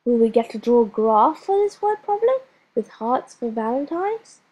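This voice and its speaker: A woman's voice: a little high, big pitch swings, normal speed, darkish tone, muffled recording. A woman reading a children's storybook, voicing a chatty, bossy schoolgirl who keeps interrupting her teacher with insistent questions. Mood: pestering.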